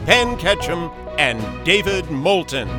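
A man's voice: fairly high pitch, big pitch swings, slow pace. A man announcing a show in a scripted podcast introduction. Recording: loud music under the voice.

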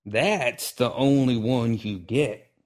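A man voicing a grumpy old retired gunfighter, speaking in a slow drawl with folksy frontier grammar. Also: mid-range voice, darkish tone, close to an everyday voice. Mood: gruff drawl. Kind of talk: audiobook character voice.

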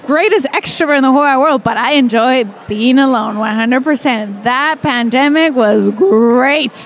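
High-pitched lady guest, frank, laughs a lot while she chats. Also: moderate pace. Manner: laughs often, frank and playful. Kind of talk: casual chat.

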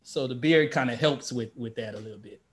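A man's voice: mid-range voice, brisk. A man in his fifties chatting casually, telling stories in an earnest way and correcting himself as he goes. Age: 50s. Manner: earnest, storytelling, self-correcting. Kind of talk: casual chat.

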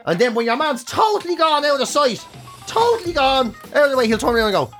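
High-voiced Irish man bantering. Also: big pitch swings, fast, over loud music. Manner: sweary, comic ranting. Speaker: radio show co-host. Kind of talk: casual comic banter.

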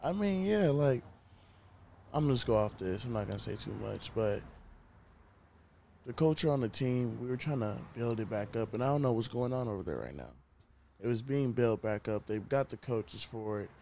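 A man's voice: medium pitch, lively intonation, normal speed, creaky, dark tone, slight background noise. A nineteen-year-old man, an athlete chatting casually and informally as a podcast guest. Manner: slangy, informal. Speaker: podcast guest, college football wide receiver. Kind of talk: casual chat. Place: inside a truck.

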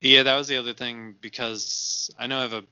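A man co-hosting a comedy podcast, dry and playful, quick to laugh. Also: mid-range voice, flat delivery, bright, forceful tone, clear, resonant tone, no echo, lo-fi sound. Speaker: podcast co-host. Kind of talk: comedic casual chat.

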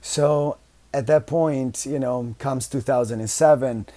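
A man in his thirties speaking English with an Italian accent, Italian being his first language. His voice is mellow and warm at a middling pitch. He rambles easily as a guest, leaning on "you know" and restarting his sentences. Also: unhurried. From Italy. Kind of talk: casual interview chat.

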